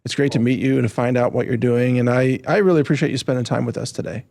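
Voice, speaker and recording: A man's voice: mid-range voice, fairly even intonation, fast talker, vocal fry, a little mellow. A man hosting an interview, talking in a humble, earnest way and laughing easily. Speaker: podcast host. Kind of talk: casual interview chat.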